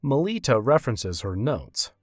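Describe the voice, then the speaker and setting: Male fantasy narrator, husky and expressive, reading scripted scenes in a very dry recording. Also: mid-range voice, moderate pace, dry recording, soft background music.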